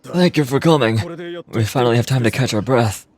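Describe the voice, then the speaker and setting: A man voicing a measured, earnest warrior who guards his tribe in a fantasy game, speaking politely. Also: medium pitch, close to an everyday voice. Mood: earnest, calm. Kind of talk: reading game dialogue in character.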